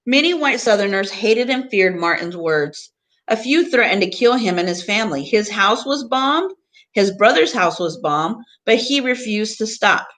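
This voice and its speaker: A woman teacher reading a picture book aloud to students, phrasing deliberately in short pieces and adding teaching asides. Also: medium pitch, animated, a bit bright.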